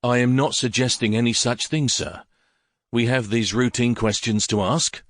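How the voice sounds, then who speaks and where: A man voicing a calm, courteous police inspector who speaks in a measured way. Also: mid-range voice, no room sound, lightly acted.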